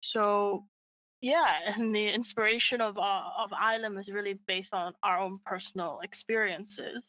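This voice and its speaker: A woman's voice: medium pitch, fairly even intonation, normal speed, sharp tone, poor audio. A woman co-founder answering interview questions in an earnest way, with frequent fillers and restarts. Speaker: app co-founder, guest.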